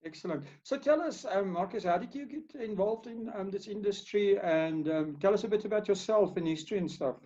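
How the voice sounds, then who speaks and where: A man hosts a relaxed video-call interview. His voice sits a little higher than most men's, yet has a mellow, warm tone. The call audio is dull and lo-fi. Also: fairly quick, hardly any pauses. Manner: easygoing, with frequent "um" fillers. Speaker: host.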